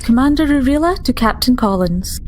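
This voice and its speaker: A woman playing a formal, newly promoted starship doctor in a tabletop game, polite and measured. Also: high voice, animated, darkish tone, a little hiss or hum, pitched above the performer's own voice. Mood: courteous, formal.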